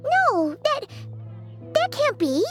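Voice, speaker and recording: Game role: tiny female sidekick sprite, extremely high, swooping, chirpy and bossy. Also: big pitch swings, takes it slowly, fairly bright tone, faint music behind, full character voice. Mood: worried. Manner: chirpy, emphatic, exclaiming. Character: small high-voiced female fairy-like companion, chirpy and bossy. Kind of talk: video game voice acting.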